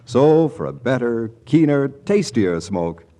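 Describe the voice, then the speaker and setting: Male commercial announcer, warm mellow tone, very modulated, vintage 1940s radio read. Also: mid-range voice, very animated, slow. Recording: old 1940s radio broadcast.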